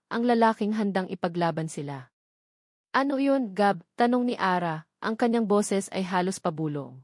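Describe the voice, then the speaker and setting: A woman's voice: medium pitch, a little mellow, dry recording, studio quality. A woman with a Filipino accent reading a scripted romance story as its narrator.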